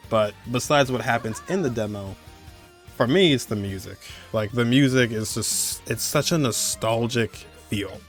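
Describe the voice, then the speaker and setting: A man's voice: medium pitch, a bit bright. A man in his twenties giving casual, rambling commentary as he hosts a video countdown. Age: 20s. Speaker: video host. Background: music under the voice.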